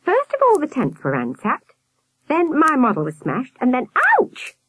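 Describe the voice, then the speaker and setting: A woman's voice: sits high, huge pitch swings, normal speed, fairly bright tone, slightly rough, no echo, pitched above the performer's own voice, heavily acted, rough recording. A woman voicing a chatty, unconcerned young boy in a children's story, blurting things out eagerly. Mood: indignant. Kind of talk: audiobook character voice.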